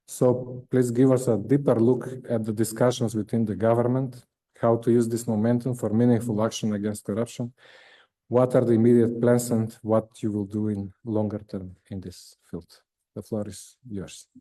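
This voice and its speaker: A man with a Macedonian accent moderating a formal panel, reading prepared remarks in a measured way. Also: medium pitch, steady tone, dark tone. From North Macedonia.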